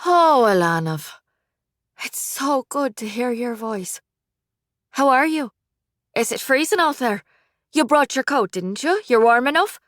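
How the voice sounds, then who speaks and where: A woman's voice: high-pitched, lively intonation, a bit bright, no room sound, studio quality, pitched above the performer's own voice. A woman voicing a worried, doting mother who fusses over her son. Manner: anxious, doting, quick to worry. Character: fretful loving mother, older woman.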